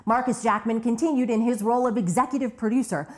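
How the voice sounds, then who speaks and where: A woman's voice: a little high, fast talker, darkish tone. A woman narrating a scripted documentary retrospective as its host.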